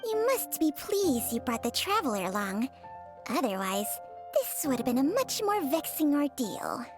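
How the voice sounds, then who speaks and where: A woman's voice: high-pitched, very animated, moderate pace, music in the background. A woman playing a refined snake companion in a video game, dry, teasing and articulate. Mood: wry.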